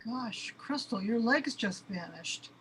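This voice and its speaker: A woman's voice: fairly high pitch, expressive, slow pace, a bit bright, a little hiss or hum, rough recording. A woman acting in a radio play as an overwhelmed, panicky cosmic being, anguished and questioning. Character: overwhelmed, panicky cosmic being trapped in its own space body.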